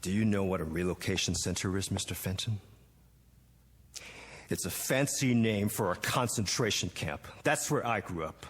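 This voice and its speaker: An actor playing a bitter, haunted young Japanese-American man in a 1960s television drama, speaking haltingly and intensely. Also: low voice, husky. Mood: bitter anger. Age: young adult. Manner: halting, intense, with repeated words.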